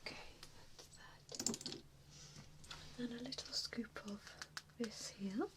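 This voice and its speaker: A woman's voice: fairly high pitch, steady tone, takes it slowly. A woman voicing a kindly, apologetic vampire in a whispered ASMR roleplay, hesitant and reassuring as she tends to a patient. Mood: focused, gentle. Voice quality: soft breathy whisper. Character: nice, apologetic female vampire tending a newly bitten patient.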